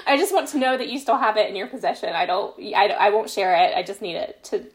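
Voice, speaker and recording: A woman's voice: mid-range voice, animated, fast talker, poor audio. A woman talks as a guest on a podcast. She tells stories in a casual, conversational way, chatty and self-deprecating, often slipping into quoted exchanges. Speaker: podcast guest, fan fiction author.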